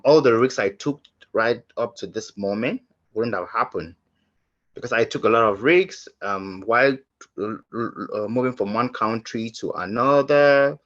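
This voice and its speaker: A man from Cameroon speaking on a webinar panel, telling his story earnestly and haltingly, with many fillers and restarts. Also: lowish voice, fairly bright tone. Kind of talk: personal testimony on a webinar panel. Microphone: video call. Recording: slightly muffled lo-fi audio.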